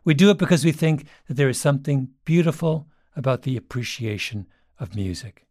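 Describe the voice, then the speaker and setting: A man's voice: mid-range voice, brisk, darkish tone, no echo, clean studio sound. A man presenting a scripted advertisement in a conversational, direct-to-listener way.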